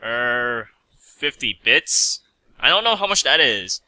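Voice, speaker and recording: A man's voice: mid-range voice, sing-song, slow, bright, forceful tone, no echo, exaggerated voice. A man playing a polite, slightly awkward stranded mechanic in a story reading, with a hesitant manner. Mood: polite, unsure.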